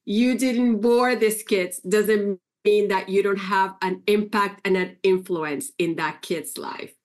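A woman's voice: mid-range voice, fairly even intonation, slow pace. A middle-aged woman from Puerto Rico, talking casually as a podcast guest and telling family stories. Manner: chatty, warm storytelling with fillers. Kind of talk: casual interview conversation.

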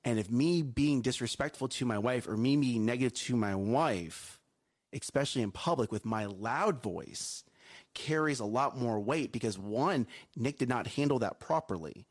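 A man co-hosting a morning show, a coach with a loud voice, giving emphatic advice and often checking in with "okay?" and "right?". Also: mid-range voice, normal speed. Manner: emphatic, repeats himself, asks "okay?" and "right?". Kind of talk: casual chat, preachy life advice.

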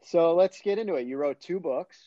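A man's voice: on the high side, brisk, mellow, no echo, lo-fi sound. A man in his thirties with an American accent, hosting a casual interview. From United States. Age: 30s. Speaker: interview host.

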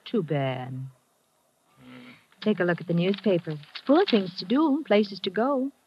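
A woman's voice: mid-range voice, lively intonation, measured pace, muffled recording, lightly acted. An actress playing a playful, coaxing young woman in an old radio drama. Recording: faintly noisy old radio broadcast.

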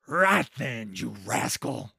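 A man's voice: medium pitch, very animated, slow, creaky, bright, forceful tone, slightly rough, no room sound, studio quality, heavily acted. A man voicing a cheerful, blunt, hulking male troll king.

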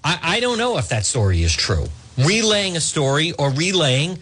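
A man delivers opinionated political commentary as a talk show host. His voice sits a little high and moves around a lot in pitch. He talks in halting, repetitive bursts, circling back on his points as he addresses his listeners. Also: big pitch swings, fairly bright tone, husky.

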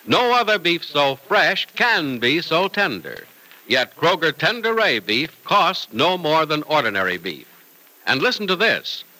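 A man's voice: on the high side, bright, forceful tone, rough recording. A man announcing and narrating in polished, theatrical old-time radio style.

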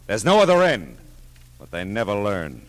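An American actor playing a tough, world-weary private detective in 1950s radio noir, wry and hard-boiled in delivery. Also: male voice, on the low side, huge pitch swings, normal speed, very noisy. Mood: hard, emphatic. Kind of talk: 1950s radio noir acting, first-person narration.

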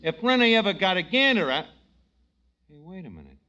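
Brash New tavern wise guy, high swooping voice. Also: big pitch swings, fairly quick, bright, forceful tone, full character voice. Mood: scornful. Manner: slangy, ungrammatical, mangles words. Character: brash, wisecracking tavern manager, a scheming lowbrow man. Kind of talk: 1940s radio comedy acting. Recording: dull vintage radio broadcast recording.

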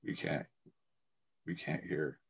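A man's voice: slow pace, lo-fi sound. Laid-back male podcast co-host. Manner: relaxed, rambling questions, laughs easily. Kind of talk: casual interview chat.

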